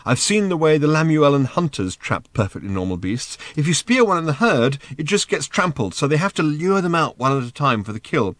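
Earnest storybook man, played by a male reader, higher-pitched, insistent and explanatory, voice raised as if over a din. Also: very animated, a little fast, few pauses, a bit bright, no room sound. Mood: explaining. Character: earnest, matter-of-fact man raising his voice over a din.

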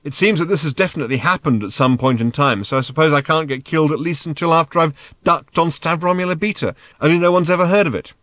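Earnest storybook man, played by a male reader, higher-pitched, insistent and explanatory, voice raised as if over a din. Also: fast, few pauses, a bit bright, dry recording, clean studio sound, lightly acted. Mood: emphatic. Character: earnest, matter-of-fact man raising his voice over a din.

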